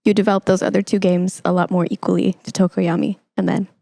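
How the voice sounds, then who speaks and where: A woman's voice: lowish voice, level tone, fast, creaky, dark tone, no room sound. A young woman hosting a conversational podcast interview, speaking in an effusive, encouraging way. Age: young adult. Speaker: podcast host.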